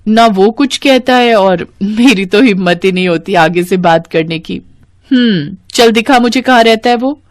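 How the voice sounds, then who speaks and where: A woman voicing excitable young twin sisters in an expressive, chatty way for a dramatized moral story. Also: mid-range voice, unhurried, no echo, natural voice. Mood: shy, confiding.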